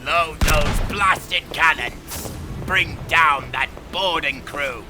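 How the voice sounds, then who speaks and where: An actor playing a fierce pirate officer, bellowing battle orders with drawn-out command in an audio adventure. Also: male voice, on the high side, music in the background. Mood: furious, commanding. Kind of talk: cinematic audio roleplay acting.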